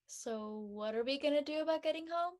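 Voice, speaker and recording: A young actress playing a homesick, worn-down college student in a drama, hesitant and soft-hearted. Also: female voice, sits high, a little fast, natural voice. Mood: subdued. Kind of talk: acted dialogue in an audio-led play.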